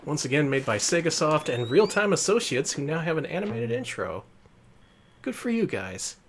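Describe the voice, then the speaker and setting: A woman's voice: low voice, big pitch swings, moderate pace, slight background noise. A woman co-hosting a retro game stream, giving dry joking commentary. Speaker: stream co-host. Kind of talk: casual game stream banter.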